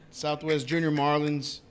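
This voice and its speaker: A man co-hosting a podcast, talking in a relaxed, halting way with fillers. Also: on the high side. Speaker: podcast co-host. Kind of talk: casual chat.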